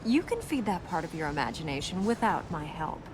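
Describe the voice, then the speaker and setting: An actress playing a serious, guarded young princess in a video game, explaining earnestly and answering with dry wit. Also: female voice, low-pitched, very animated, fairly quick, noisy background.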